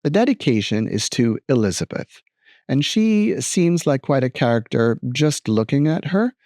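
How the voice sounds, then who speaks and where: A middle-aged man reading a picture book aloud as a teacher, adding expressive commentary with deliberate emphasis and wry asides. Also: mid-range voice, no room sound, clean studio sound.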